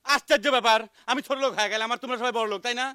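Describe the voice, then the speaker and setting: An actor playing a huffy, sarcastic village doctor in a comic TV drama, with a rural Bangladeshi dialect. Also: male voice, extremely high pitch, fairly even intonation, racing, bright, forceful tone, clear, resonant tone, no room sound, clean studio sound. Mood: indignant. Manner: indignant, sarcastic. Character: huffy village doctor fussing about fees.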